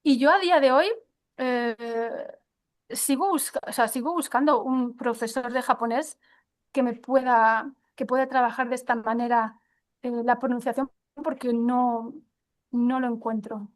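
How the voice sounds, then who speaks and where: A woman with a high voice answers interview questions about teaching pronunciation, in a relaxed conversational way. She hesitates often, filling gaps with "eh" and restarting her sentences as she thinks aloud. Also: a little slow. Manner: hesitant, many fillers and restarts.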